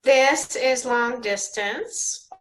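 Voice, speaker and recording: An actress as an obliging female switchboard operator with a fairly high voice. She speaks very slowly, with measured, formal courtesy in old-time radio style. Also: fairly bright tone, low-quality recording.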